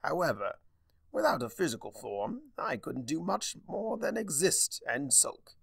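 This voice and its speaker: A man voicing a theatrical, sardonic, mischievous male chaos spirit with a wry, drawn-out delivery in a fan fiction reading. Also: on the high side, sing-song, creaky, fairly bright tone, husky, no echo, voice pushed up, full character voice. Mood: aggrieved, theatrical.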